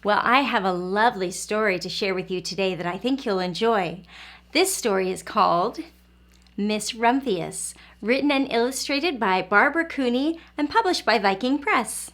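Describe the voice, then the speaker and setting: A woman's voice: medium pitch, lively intonation, a bit bright. A woman hosting an early learning lesson, speaking to small children in simple, encouraging phrases and questions. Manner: encouraging, asks questions and pauses for young viewers. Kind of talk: children's lesson and storybook read-aloud.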